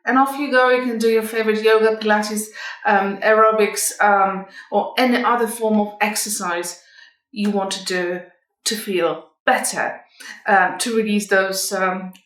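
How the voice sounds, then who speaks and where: A middle-aged woman giving advice to viewers as a vlog host, in a measured, explanatory manner. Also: medium pitch, fairly bright tone. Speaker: communication coach and vlog host. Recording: echoey room, distant microphone.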